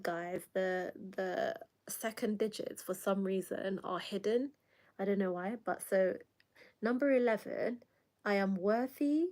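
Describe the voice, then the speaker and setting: A woman's voice: mid-range voice, a little mellow. A young woman with a Kenyan accent who hosts a late-night livestream. She keeps up a casual chat, laughs often, reads viewer comments aloud, and coughs now and then from a lingering cold. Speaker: livestream host.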